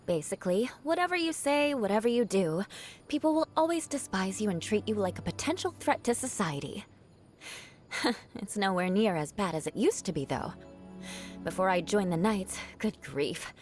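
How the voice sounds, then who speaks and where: Over a music bed comes a woman acting as a proud young female knight from a video game, an outcast noblewoman with a grudge. Her mid-pitched voice swings expressively through haughty, formal phrases and indignant emphasis. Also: a little mellow, close to an everyday voice. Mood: proud, composed. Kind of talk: scripted video game character voice lines. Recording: music behind the voice.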